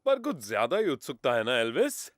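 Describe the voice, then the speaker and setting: A man voicing a helpful, sensible fireman in an animated children's cartoon dub. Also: mid-range voice, fairly quick, dry recording, soft background music. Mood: friendly, sensible.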